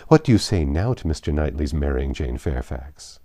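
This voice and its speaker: A man voicing a lively, opinionated young gentlewoman from a classic novel, speaking in an arch, emphatic and formal way. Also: a bass voice, normal speed, dry recording, studio quality. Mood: triumphant.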